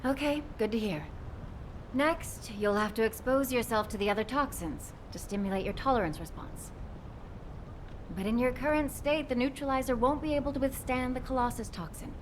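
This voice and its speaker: A high, expressive woman's voice, an actress as a protective, reproachful scientist in a dramatic video game. She speaks with earnest care and real feeling. A faint rumbling noise sits behind her. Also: normal speed, close to an everyday voice. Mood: worried, clinical.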